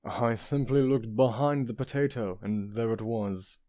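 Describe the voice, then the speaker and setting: Charming rogue prince for a comic dub, played by a man: courtly, mellow, mid-pitched, self-assured, close dry sound. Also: measured pace, dry recording, lightly acted. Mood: flirtatious. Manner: smooth-talking, genteel, self-assured. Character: suave, flirtatious, penniless prince with courtly charm.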